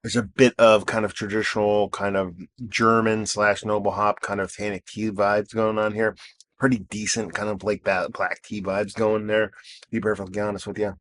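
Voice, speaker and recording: A man in his thirties with a hoarse, worn-out voice hosts a casual beer review. His voice sits somewhat low, and he rambles along with plenty of fillers. He pokes fun at himself as he goes. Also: flat delivery, moderate pace, fairly bright tone. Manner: rambling and self-deprecating, many fillers. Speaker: beer reviewer and show host. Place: outdoors.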